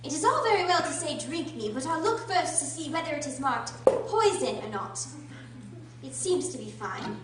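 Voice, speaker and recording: A woman's voice: high voice, very animated, moderate pace. A woman playing a curious, fretful little girl who thinks aloud theatrically in a radio drama. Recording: roomy, distant, faint noise and hum.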